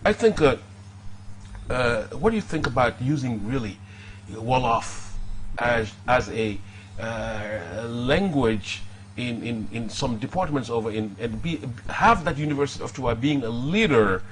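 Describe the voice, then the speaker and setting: A man's voice: medium pitch, expressive, unhurried, background noise. Hesitant male interview host. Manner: hesitant, many fillers and restarts. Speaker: show host.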